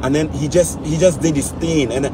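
A man's voice: a little high, fairly even intonation, normal speed. A middle-aged man telling a story casually while driving, acting out the dialogue with quoted voices. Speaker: vlogger. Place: car on a snowy highway. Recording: clear car road noise. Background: road and engine noise.

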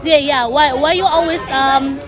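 A young woman with an Indonesian accent hosting a casual, chatty interview in an animated way. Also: sits high, normal speed, over music. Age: young adult. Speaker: vlog host, interviewer. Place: outdoors at a park gazebo gathering. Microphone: phone at arm's length. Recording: busy outdoor chatter behind.

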